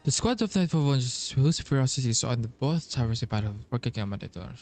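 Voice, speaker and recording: A man doing a casual game playthrough, reading the on-screen text aloud in a halting way. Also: mid-range voice, animated, darkish tone, slight background noise. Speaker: game streamer.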